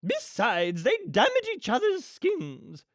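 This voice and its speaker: A woman's voice: a little high, wildly swooping pitch, slow pace, dry recording, clean studio sound, pitched above the performer's own voice, heavily acted. A woman voicing an imperious, gleefully murderous rich villainess from a storybook, with a haughty, theatrical, commanding manner. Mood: gleefully menacing.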